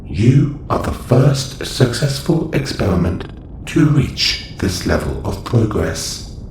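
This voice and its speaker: A man's voice: lowish voice, sing-song, slow pace, darkish tone, exaggerated voice. A man voicing a clinical, detached observation robot in an audio roleplay, speaking in a stilted, formal, matter-of-fact way. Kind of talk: scripted audio roleplay monologue. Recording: roomy echo with faint noise.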